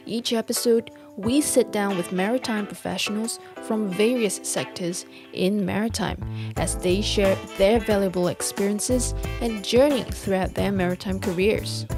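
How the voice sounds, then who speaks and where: A young woman hosting a podcast, giving a prepared introduction. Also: medium pitch. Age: young adult. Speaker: podcast host. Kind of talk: scripted introduction. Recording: very echoey, distant mic, music.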